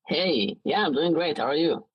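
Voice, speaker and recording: A man in his late twenties with an Israeli accent, talking casually as an interview guest in a halting way with frequent false starts. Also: a little high, expressive, slightly rough, dry recording, muffled recording.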